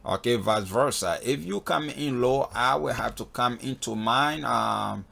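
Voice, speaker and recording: Gravelly man giving a casual tutorial. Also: mid-range voice, a little slow, a bit bright. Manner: step-by-step, repeatedly checking in with "okay". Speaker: flight instructor in a tutorial video. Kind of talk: casual spoken software walkthrough. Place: voice-over on a screen recording.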